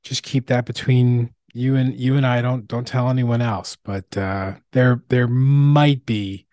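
A man hosting a casual podcast, speaking haltingly and often repeating his words. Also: mid-range voice, steady tone, mellow, dry recording, studio quality. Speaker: podcast host.

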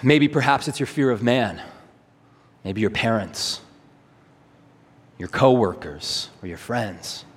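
A man's voice: medium pitch, expressive, slow pace, pauses often, slightly rough. A man preaching a sermon in an earnest, emphatic way, with rhetorical questions and repetition. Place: large hall, preaching to a congregation. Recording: reverberant hall.

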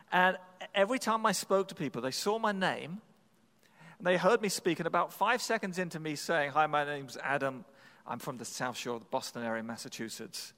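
A man's voice: on the high side, a bit bright, long reverb tail. Man telling a sermon story. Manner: conversational, self-deprecating, with repeated restarts. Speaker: pastor.

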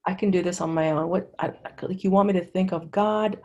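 A middle-aged woman from Lebanon giving candid personal testimony as an interview guest, in a halting, conversational way. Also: lowish voice, steady tone, a little mellow, highs cut off.

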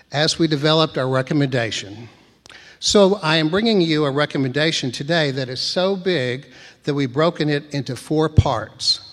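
A soft-spoken man, reading a prepared committee report to a church congregation at a podium mic. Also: on the high side. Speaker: church committee member presenting. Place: church sanctuary. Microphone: podium microphone. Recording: long church reverb.

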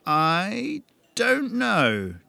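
A man voicing a cocky, excitable young man who talks loud and high. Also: gravelly, no echo, heavily acted. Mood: breezy excited. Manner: fast, loud and high-strung.

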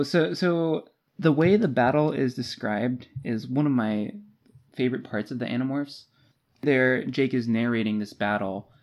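A podcast co-host chatting casually about books, retelling scenes in informal, slangy language. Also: mid-range voice. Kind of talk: casual book discussion.

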